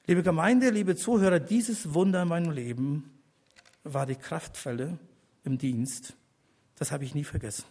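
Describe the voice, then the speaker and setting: An older man with a slightly husky, somewhat high voice gives a personal testimony from the pulpit. He speaks deliberately, pausing between phrases, then lifts into emphatic appeals to his listeners. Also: dark tone. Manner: earnest, deliberate with pauses, rising to emphatic appeals. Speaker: church elder giving a testimony. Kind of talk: sermon testimony.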